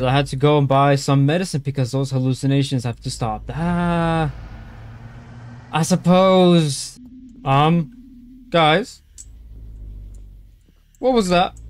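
Young male streamer, slightly high voice, slow nervous delivery, strained throat, dry close headset sound. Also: darkish tone. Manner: jumpy, nervous exclamations, keeps calling viewers bro. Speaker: gaming streamer. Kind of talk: horror game playthrough commentary. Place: small room indoors.

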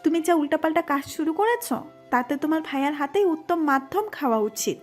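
A woman's voice: high-pitched, lively intonation, brisk, faint music behind. A woman playing a teasing, scolding and whiny college-age younger cousin in an audio story. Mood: dismissive, wary. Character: sleepy, chiding teenage younger girl cousin, a college student.

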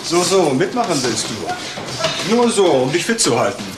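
An actor playing a skeptical boxing trainer in a crime drama, blunt, teasing and colloquial. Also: male voice, a little high, very animated, measured pace, music in the background. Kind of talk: German TV crime drama acting. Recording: soundtrack with clear background noise.

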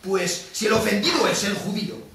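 A man's voice: high-pitched, animated, normal speed, vocal fry, pushed and bright, full character voice. A male stage actor playing a bitter, vengeful Venetian moneylender in a declamatory theatrical monologue, aggrieved and defiant. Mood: wounded, indignant. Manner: declamatory, rhetorical questioning. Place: theatre stage, recorded from a distance. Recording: echoey theatre, distant mic, noisy.

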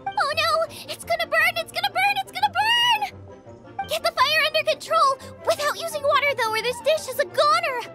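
A woman voicing an excitable, bossy teenage girl chef in a video game, eager and exclamatory. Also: very high voice, moderate pace, faint music behind. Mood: panicked.

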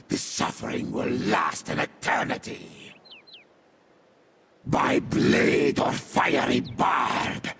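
A man playing a sadistic, vengeful infernal beast warrior in a video game, with a harsh demonic growl and theatrical, deliberate delivery. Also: medium pitch, creaky, fairly bright tone, a little hiss or hum, exaggerated voice. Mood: sadistic, menacing. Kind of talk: video game character voice lines.

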